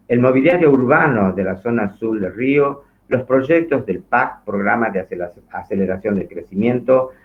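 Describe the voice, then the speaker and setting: A man with a fairly low voice acts as host, reading a formal introduction aloud. His delivery is halting and trips on foreign words, and the sound is thin, like a phone call. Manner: halting, stumbles over foreign names. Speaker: host introducing a guest lecturer. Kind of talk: reading out a formal biography in an online event.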